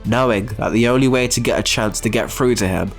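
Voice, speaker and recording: A man with a mid-pitched voice delivers scripted story narration in a dramatic style. Faint music plays behind him as he narrates. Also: a little fast, gravelly.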